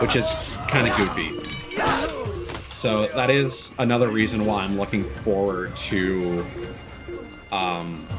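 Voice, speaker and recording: A low-pitched man chats casually on a gaming livestream. He rambles and halts as he finds his thoughts, with loose, sweary phrasing. Music plays clearly behind his voice. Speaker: game streamer.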